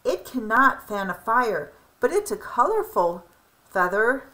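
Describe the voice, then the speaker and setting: Woman playing a showy, bright-voiced blue jay. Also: on the high side, sing-song, measured pace, full character voice, poor audio. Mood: consoling.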